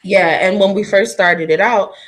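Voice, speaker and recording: A soft-voiced woman singer from New Jersey, chatting casually on a live video stream, laughing often and talking straight to her viewers. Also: mid-range voice, steady tone, moderate pace, background noise.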